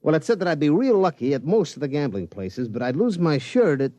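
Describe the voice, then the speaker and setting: A man's voice: on the high side, very animated, brisk, warm tone, no echo. An actor playing a nervous, rambling male gangster underling who talks in folksy slang, in a 1950s radio drama.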